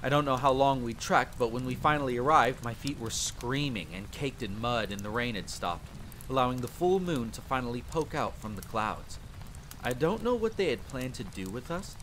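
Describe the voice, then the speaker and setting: Campfire audio drama: male actor as world-weary vampire hunter telling his grim past, expressive and wry, stormy rain ambience. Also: mid-range voice, a little hiss or hum, lightly acted. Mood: wry, dramatic. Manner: theatrical, expressive, wry asides. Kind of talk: dramatic first-person storytelling, audio roleplay. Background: rain and rolling thunder.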